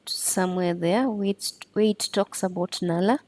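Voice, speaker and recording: A woman's voice: fairly low pitch, fairly even intonation, normal speed, darkish tone. A woman presenting a step-by-step screen tutorial in an instructional way.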